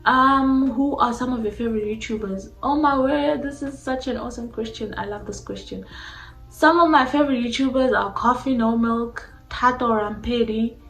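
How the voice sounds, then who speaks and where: A woman's voice: on the high side, moderate pace, a bit bright. A young South African woman whose first language mixes Xhosa and Zulu, chatting casually and informally to viewers in a question-and-answer vlog. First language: a mixture of Xhosa and Zulu. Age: young adult. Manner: informal, uses "like" constantly. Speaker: YouTuber answering personal questions. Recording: echoey room with faint music.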